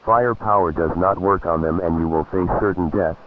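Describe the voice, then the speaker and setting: Male civil alert reader, low voice, formal measured delivery, narrow radio-style band. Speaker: emergency alert announcer. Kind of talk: scripted emergency broadcast warning.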